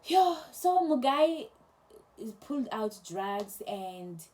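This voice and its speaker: A young woman casually telling a travel story to camera, chatty and animated, with many restarts. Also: fairly high pitch, drawn-out words. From South Africa. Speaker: travel vlogger.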